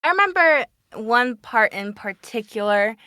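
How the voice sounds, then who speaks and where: Bright, clear, higher-voiced woman chatting. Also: unhurried, no echo. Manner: giggly, animated storytelling with filler "like". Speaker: voice actor and dub director on a panel. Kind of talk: casual panel chat, telling anecdotes.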